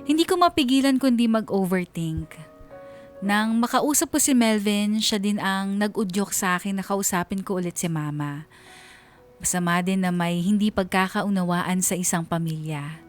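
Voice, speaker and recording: Actress as troubled wife telling her story on radio drama, mid pitch, confiding, music in back. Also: normal speed, close to an everyday voice. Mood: worried, reflective. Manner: reflective, confiding. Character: troubled married woman confiding her family conflict. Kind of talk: first-person narration in a radio drama.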